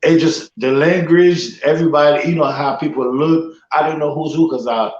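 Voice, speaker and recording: A man's voice: on the high side, moderate pace, darkish tone. Man in an echoey room. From Haiti. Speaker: podcast guest. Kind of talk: casual chat. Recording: echoey room, dull lo-fi audio.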